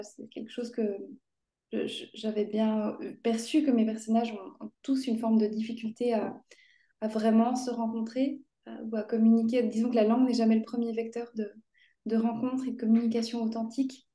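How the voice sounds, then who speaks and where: Woman novelist in her thirties, mellow flat delivery, halting, echoey room. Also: a little high. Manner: halting, many restarts and fillers. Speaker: novelist guest. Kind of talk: hesitant reflective talk.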